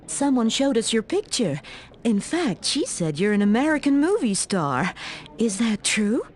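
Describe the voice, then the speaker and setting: An actress playing a poised, playful undercover agent at a party in a video game. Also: female voice, on the high side, sing-song, a little slow, a little mellow. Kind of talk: video game cutscene acting.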